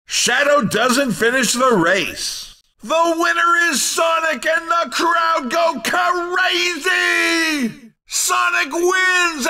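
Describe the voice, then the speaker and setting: A man voicing an excitable, showy race commentator in a video game, with theatrical, emphatic exclamations. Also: very high-pitched, far from the mic, full character voice. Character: excitable male arcade racing game announcer, showy and quick to tease.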